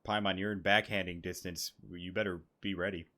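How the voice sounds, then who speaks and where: A man's voice: low-pitched, flat delivery, brisk, bright, forceful tone. A man giving casual playthrough commentary as a gaming creator, slangy, sweary and irreverent.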